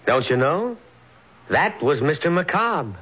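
An actor playing an accusing, composed detective posing as a murdered doctor, in dramatic 1950s radio mystery style. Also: male voice, fairly high pitch, very animated, unhurried, very noisy. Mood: brisk, in control. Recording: muffled vintage radio, loud music.

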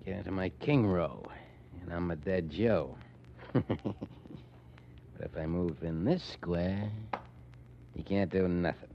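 A tough, folksy gang boss with a very low voice. He talks in slangy, ungrammatical street style, cocky and sure of himself. It sounds like a dull, muffled 1940s radio recording. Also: slow pace, creaky, long pauses, a little hiss or hum, exaggerated voice. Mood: smug, musing. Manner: slangy, ungrammatical tough-guy talk with chuckles.

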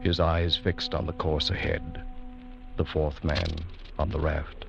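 A man narrating an old radio adventure drama as a grave storyteller, in a measured, dramatic way. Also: rumbling low, fairly even intonation, gravelly, over music, heavily acted. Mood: grave, suspenseful. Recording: dull vintage radio recording.

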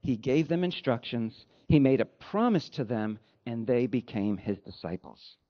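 A man preaching a sermon as a pastor, with a deliberate, emphatic delivery and dry humor. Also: mid-range voice, animated, dull audio.

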